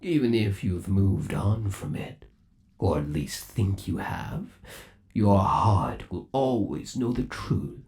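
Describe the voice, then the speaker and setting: A possessive animatronic rabbit boyfriend, acted by a man, for an ASMR roleplay. He coos with sinister devotion in a gravelly voice, drawing his words out slowly. His pitch swoops up and down theatrically as he dotes. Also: warm tone, full character voice. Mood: doting, teasing. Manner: slow, doting, theatrical cooing.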